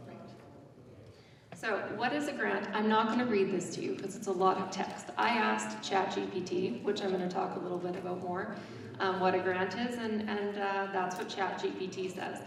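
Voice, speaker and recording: Loud chatty woman doing a conference talk on a little mic, echoey hall, crowd noise. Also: medium pitch, distant mic. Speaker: grant writing consultant. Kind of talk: conference presentation. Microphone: small handheld microphone.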